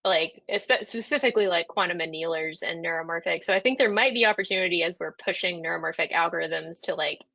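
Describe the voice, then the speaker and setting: A woman's voice: lowish voice, quick pace, gravelly, hardly any pauses, sharp tone, no room sound. A woman panelist adding a point on a video call, talking casually with lots of "like" fillers. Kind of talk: webinar panel discussion. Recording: dry, dull video call audio.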